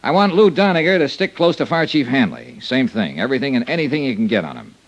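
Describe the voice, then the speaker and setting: A man's voice: mid-range voice, very animated, fast, no echo, rough recording. An American man playing a tough, commanding investigator in an old-time radio drama, with clipped, hard-boiled delivery. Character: tough, commanding insurance investigator, brisk and determined. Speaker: lead actor and narrator of a detective radio serial.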